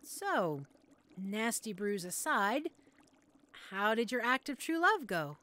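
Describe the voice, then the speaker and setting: A woman's voice: fairly high pitch, very animated, slow, pushed and bright, heavily acted. A woman playing a chatty, flustered young witch-in-training shopkeeper in an audio roleplay, with a theatrical, rambling manner. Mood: chatty, sympathetic. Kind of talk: scripted audio roleplay, addressed to the listener.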